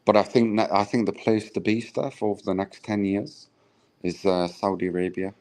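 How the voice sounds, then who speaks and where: A man's voice: lowish voice, steady tone, normal speed, a little mellow, rough recording. A British man chatting casually on a live stream, easygoing, often trailing off and restarting his sentences. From Britain. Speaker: stream guest.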